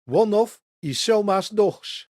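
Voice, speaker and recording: A woman's voice: medium pitch, animated, slow, darkish tone, no room sound, clean studio sound. A woman voicing a hostile male mage attacker in an audiobook, with a mocking, contemptuous manner. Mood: sneering.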